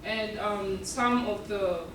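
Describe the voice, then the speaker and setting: A young woman who grew up in East Africa gives a student presentation, earnest and measured, with many ums between her points. She sounds a little far from the mic in an echoey room, with clear noise behind her. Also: medium pitch, steady tone. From South Sudan and Uganda. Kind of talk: presentation talk. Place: event room.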